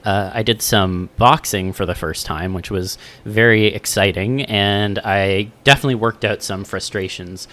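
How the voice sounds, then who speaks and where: Podcast host guy, bright voice on the low side, chill and upbeat. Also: normal speed, hardly any pauses. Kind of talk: casual podcast chat.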